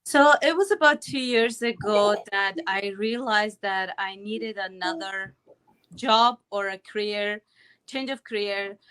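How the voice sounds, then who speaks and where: A woman's voice: medium pitch, unhurried, fairly bright tone, smooth, poor audio. A woman in her thirties from Afghanistan, talking earnestly as an interview guest over a video call, with many "you know"s and "right"s. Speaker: guest, accountant and online business owner. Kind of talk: casual interview talk. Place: home in Calgary.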